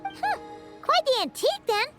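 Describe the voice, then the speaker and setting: Tiny cheeky game fairy: extremely high, squeaky, animated, over loud music. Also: female voice, normal speed. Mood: puzzled, doubtful. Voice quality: squeaky, childlike. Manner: cheeky, refers to self by name. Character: Paimon, small childlike floating fairy companion, cheeky and skeptical. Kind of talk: video game voice acting.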